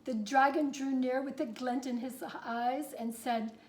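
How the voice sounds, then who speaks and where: Woman as a slow, haughty theatrical storytelling diva. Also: high voice, steady tone. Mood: dramatic narrating. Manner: affected, melodramatic, aloof. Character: haughty theatrical actress reading to children. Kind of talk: dramatic storybook reading with dry asides.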